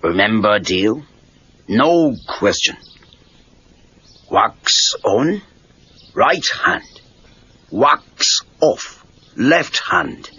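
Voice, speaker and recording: Wise old Okinawan sensei, Japanese accent, very slow broken English, low swooping pitch, muffled cassette drama. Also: male voice, sing-song, bright, forceful tone, husky, exaggerated voice. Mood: firm, solemn. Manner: terse broken English, deliberate pauses. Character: wise old Okinawan karate master and maintenance man, calm. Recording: muffled lo-fi read-along cassette tape.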